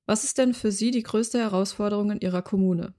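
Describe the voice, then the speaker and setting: A woman podcast reporter introducing interviewees and reading scripted narration clearly. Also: mid-range voice, brisk, mellow.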